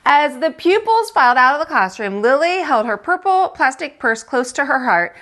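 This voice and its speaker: A woman reading a picture book aloud as a teacher, animated and expressive, with dramatic emphasis and asides to young listeners. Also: high voice, normal speed. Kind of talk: animated storytime narration for children.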